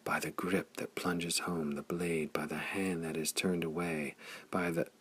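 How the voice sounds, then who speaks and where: A man reading the part of a tender, devoted young woman in an audiobook, speaking in soft, hushed tones. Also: low-pitched, level tone, a little slow, husky, exaggerated voice. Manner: soft, hushed whispering delivery. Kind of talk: audiobook character voice.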